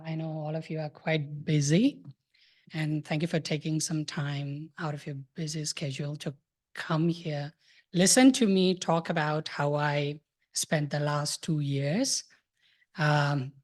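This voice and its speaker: A man in his thirties from Nepal, giving a hesitant, soft-spoken talk about his thesis book to an audience. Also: a little high. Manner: soft-spoken, hesitant with many filler sounds. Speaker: thesis presenter.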